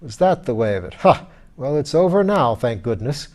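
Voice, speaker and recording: A man voicing a pompous, irritable, hard-drinking skeleton lord in an audiobook, rough and blustering in old-fashioned aristocratic speech. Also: medium pitch, sing-song, moderate pace, darkish tone. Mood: querulous. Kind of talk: audiobook character voice.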